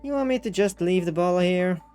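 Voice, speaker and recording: Man doing a higher-pitched nonchalant female bartender. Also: brisk, a little mellow, faint music behind, natural voice. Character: easygoing, detached female bartender in a cafe.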